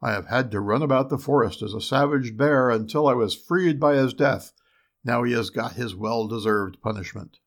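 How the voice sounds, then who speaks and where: A kindly enchanted storybook prince, read by a man, with a mid-pitched gravelly voice. He speaks in a measured, reassuring way. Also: lightly acted. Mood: solemn. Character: kindly enchanted prince, first in bear form. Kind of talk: storybook character voice.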